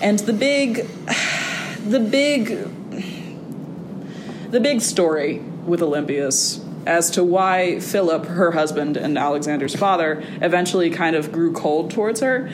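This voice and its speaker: Wry, animated young woman with background rumble. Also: a little slow. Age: young adult. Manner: animated, wry, frequent fillers and restarts. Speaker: guest, classics student. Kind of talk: casual interview conversation. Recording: audible background noise with a low rumble.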